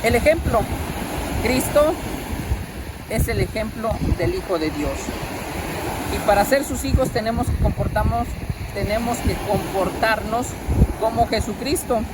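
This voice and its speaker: A man, a parish priest giving a catechetical homily, slow and measured, asking his listeners questions. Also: sits high. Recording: loud wind noise outdoors.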